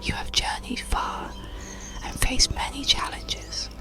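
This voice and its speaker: A narrator whispering as an ancient, solemn dragon. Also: close to an everyday voice. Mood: solemn welcome. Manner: grave, slow, whispered. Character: ancient, wise, solemn dream-guarding dragon. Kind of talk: whispered ASMR bedtime storybook.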